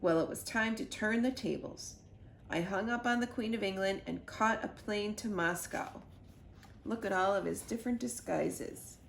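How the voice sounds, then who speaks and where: A woman narrating a children's storybook in an expressive read-aloud style. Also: mid-range voice, moderate pace, gravelly. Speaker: storybook reader. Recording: echoey room with faint noise.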